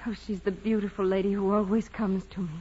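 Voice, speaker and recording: An actress playing a devout young peasant girl in an old radio drama, earnest, polite and quietly firm. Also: medium pitch, level tone, fast, a little hiss or hum, lightly acted. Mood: respectful, earnest. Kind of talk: 1940s-50s radio drama acting. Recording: muffled old radio broadcast.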